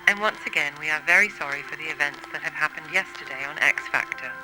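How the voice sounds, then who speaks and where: Guy doing a polite sorry-sounding tv announcer, music playing behind, voice a bit high. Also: fast, natural voice, low-quality recording. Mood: apologetic. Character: polite, apologetic male morning TV continuity announcer. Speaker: continuity announcer. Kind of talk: scripted broadcast announcement.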